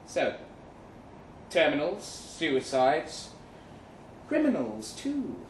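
Slow, deliberate male storyteller in a roomy space. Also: on the high side, wildly swooping pitch. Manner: deliberate, measured with dramatic pauses. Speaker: short story reader at a live event. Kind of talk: dramatic first-person literary reading. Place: event room in a pub. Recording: roomy, distant mic with faint noise.

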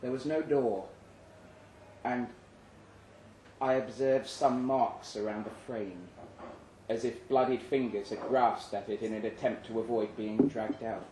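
Guy narrating a dark story live, dramatic pauses, medium pitch, roomy echo w a bit of background noise. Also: fairly even intonation. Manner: measured, suspenseful delivery with deliberate pauses. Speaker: story reader. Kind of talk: dramatic literary narration, read aloud live. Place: indoor live reading event.

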